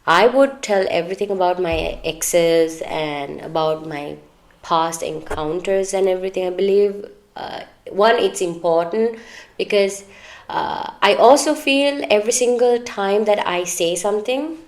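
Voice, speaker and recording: A woman's voice: medium pitch, a little slow. A woman co-hosting a podcast, chatting candidly and earnestly about personal matters, in a talkative, advice-giving way. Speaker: podcast co-host.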